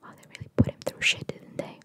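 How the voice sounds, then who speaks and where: A woman whispering in a breathy voice, reading trivia for ASMR and adding chatty, informal asides. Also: fast talker. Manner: informal, chatty, reacting to what is read. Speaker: ASMR host reading video game trivia.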